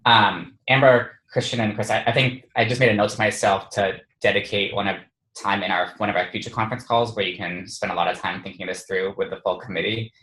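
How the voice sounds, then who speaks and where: A man's voice: fairly low pitch, fairly even intonation, a little fast, bright, forceful tone. A man informally chairing a work conference call, responding to colleagues, with echoing call audio. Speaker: meeting chair.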